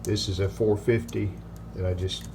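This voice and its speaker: An older man giving a hands-on repair walkthrough in plain-spoken, halting phrases. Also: lowish voice, steady tone, vocal fry, background noise.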